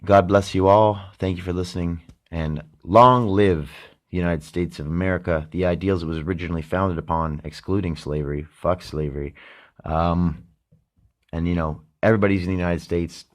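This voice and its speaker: Low-voiced rambling Canadian man. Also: steady tone, normal speed. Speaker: podcast host. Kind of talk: casual late-night solo monologue.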